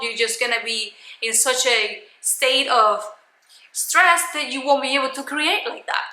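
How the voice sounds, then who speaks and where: A woman giving a motivational coaching talk to camera in a loud, emphatic, blunt manner. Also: high-pitched, echoey room, rough recording. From Cuban. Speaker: life coach, video host.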